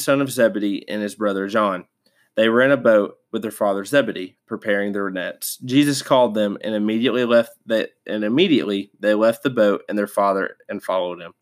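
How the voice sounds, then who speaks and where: A man hosting a devotional podcast, stuffed up from a sinus infection, talking casually with many fillers and restarts. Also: on the low side. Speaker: podcast host.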